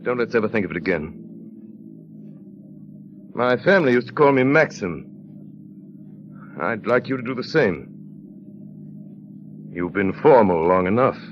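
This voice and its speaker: Casting: imperious middle-aged widower, very animated delivery, 1940s radio acting, dull old recording. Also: male voice, lowish voice, a little slow, long pauses. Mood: softened. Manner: abrupt, imperious. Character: brusque, brooding, commanding wealthy widower. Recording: dull vintage radio recording, music behind.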